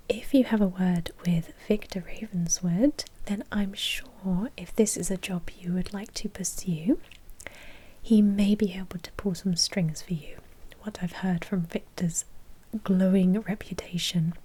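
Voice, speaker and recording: A woman's voice: mid-range voice, mellow, breathy edge. A woman playing a polite, businesslike vampire blood bank manager in a whispered ASMR roleplay, explaining procedures in a helpful, officious way. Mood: informative, encouraging.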